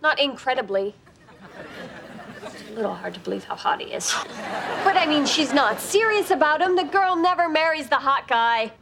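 An actress playing a quick-witted young American wife, wry and teasing in a sitcom. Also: female voice, high voice, animated, vocal fry, a crowd in the background. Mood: defensive. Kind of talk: sitcom acting.

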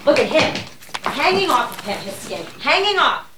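A brisk, bossy mother in a hurry, her fairly high voice jumping around. Clicks and noise sit behind her in the film soundtrack. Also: sing-song. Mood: scornful.

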